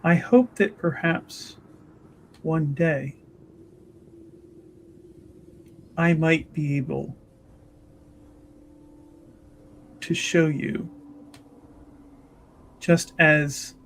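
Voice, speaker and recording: Man playing a slow, solemn, creaky noblewoman mage. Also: on the high side. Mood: earnest, hopeful. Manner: slow, deliberate, earnest. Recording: noticeable background noise.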